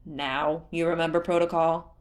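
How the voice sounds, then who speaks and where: A woman voicing a formal, world-weary male fish-folk escort in a storybook reading, courteous and measured. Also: low voice, level tone, lightly acted. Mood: exasperated.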